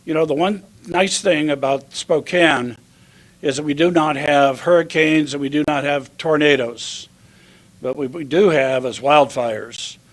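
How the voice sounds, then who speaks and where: A man speaking as a county official at a public announcement, delivering his remarks deliberately, phrase by phrase. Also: a little high. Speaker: county commissioner. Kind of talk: public speech at a press announcement.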